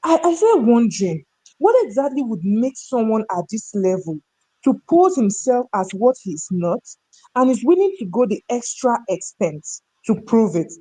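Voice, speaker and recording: A woman's voice: a little high, big pitch swings, normal speed, dark tone, slightly rough, rough recording. Nigerian woman telling stories emphatically. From North Central Nigeria. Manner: emphatic, rhetorical questions. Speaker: human resources professional, talk show guest. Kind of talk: conversational storytelling and opinion in a discussion.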